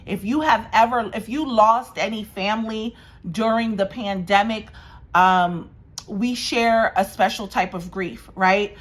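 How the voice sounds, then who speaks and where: A woman's voice: mid-range voice, unhurried. A woman in her forties from Washington, D.C., a realtor talking casually to camera from her dining room, emphatic and repeating her points. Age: 40s. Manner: emphatic, repeats phrases, addresses viewers as guys. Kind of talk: casual direct-to-camera market talk. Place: dining room at home by a windy patio.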